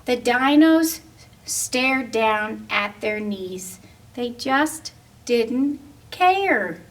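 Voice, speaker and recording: Animated woman reading to children. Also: on the high side, extremely slow, distant mic. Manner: animated, asks young listeners questions. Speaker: story reader. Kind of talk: read-aloud picture book for children.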